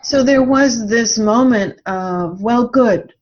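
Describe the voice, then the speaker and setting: A woman's voice: a little high, takes it slowly, a little mellow, highs cut off. A woman answering as a podcast guest in a reflective, explanatory, conversational way.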